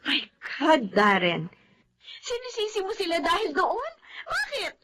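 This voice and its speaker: Disappointed young woman, high voice, earnest, emotional, principled, Filipino radio drama. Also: huge pitch swings, measured pace, sharp tone, slight background noise, heavily acted. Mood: upset, pleading.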